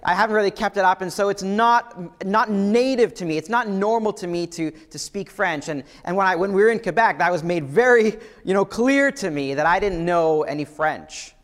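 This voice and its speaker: A man's voice: sits high, moderate pace, few pauses. A man with a Canadian accent preaches a sermon, emphatically repeating his key phrases. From Ontario, Canada. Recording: long hall reverb.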